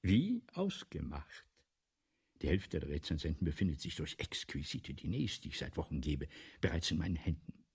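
An actor in a German radio play, playing a vain, scheming, imperious celebrated writer with pompous, theatrical, lecturing speech. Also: male voice, very deep voice, sing-song, moderate pace, a little mellow, slightly rough, exaggerated voice. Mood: smugly scheming.

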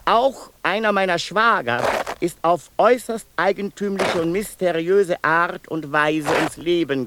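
Radio-play asylum inmate, pedantic and fixated, a higher voice over steady hiss. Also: male voice. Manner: long-winded, circling, endlessly repeating himself. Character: male asylum inmate, obsessive pedantic storyteller. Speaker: inmate narrating family deaths. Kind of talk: 1990s radio play acting.

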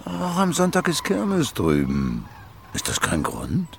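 An actor playing a sly, commanding mill master in a German radio play, with a gravelly, drawling voice. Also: male voice, on the high side, wildly swooping pitch, takes it slowly, full character voice.

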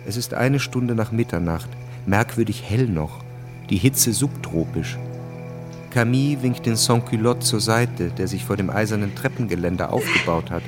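A man narrating a radio drama in a calm, measured way. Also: fairly low pitch. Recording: music bed under narration.

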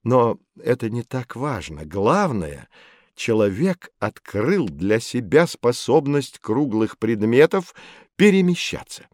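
A man playing a knowledgeable, friendly teacher guide in an educational audio drama, explaining in a measured, didactic way. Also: medium pitch, sing-song, a little mellow, dry recording, studio quality. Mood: explanatory. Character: knowledgeable, friendly teacher guiding children through history.

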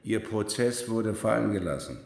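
Very deep-voiced, stern Soviet security marshal. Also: male voice, animated, moderate pace, gravelly, a little mellow, echoey room. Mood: controlled, dismissive. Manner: curt, formal, authoritative. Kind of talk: German radio drama acting.

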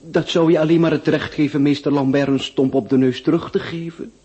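A man's voice: on the high side, fairly even intonation, brisk, dark tone, slightly rough. Cautious Ottoman undersecretary, muffled recording. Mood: placating, reasoning. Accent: Flemish. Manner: reasoning, hesitant, repeating words. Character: cautious, placating Ottoman embassy undersecretary, loyal friend. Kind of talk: Flemish radio play acting. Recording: dull lo-fi radio recording.

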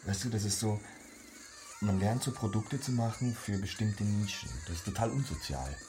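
An actor playing a disillusioned young student and model in a naturalistic radio drama, talking in a terse, colloquial way with music underneath. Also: male voice, fairly low pitch, normal speed, natural voice. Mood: jaded, casual. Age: young adult.

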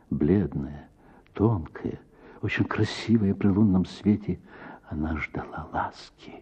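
Deep husky male literary narrator. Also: warm tone, lo-fi sound. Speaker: actor reading a literary short story. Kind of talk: dramatic audiobook narration for radio.

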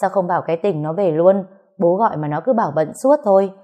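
A woman's voice: fairly low pitch, quick pace, muffled recording, close to an everyday voice. A woman voicing a friendly, cheerful older father who greets his guests in an audio story. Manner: welcoming, chatty.

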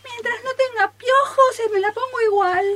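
A woman's voice: extremely high pitch, moderate pace, a bit bright, a little hiss or hum. A woman playing a distraught salon client with ruined hair in an improvised radio play. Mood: pleading, tearful.

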